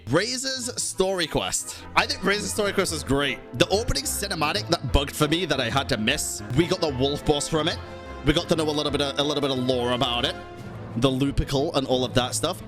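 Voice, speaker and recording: Male streamer host, somewhat higher-pitched, chatty and emphatic, casual swearing, faint music behind. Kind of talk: casual commentary to a live chat.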